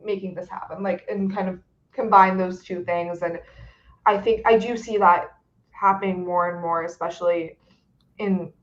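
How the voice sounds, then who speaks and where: A woman's voice: on the low side, steady tone, normal speed. A young woman on a video-call webcast, speaking earnestly with frequent "like" fillers. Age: young adult. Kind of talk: webcast discussion. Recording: dull lo-fi audio.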